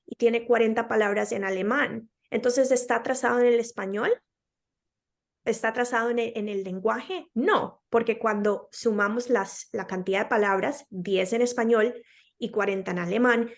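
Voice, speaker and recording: A woman from Colombia with a Colombian accent, a speech-language pathologist being interviewed, who explains patiently with examples and many hesitant fillers. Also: on the high side.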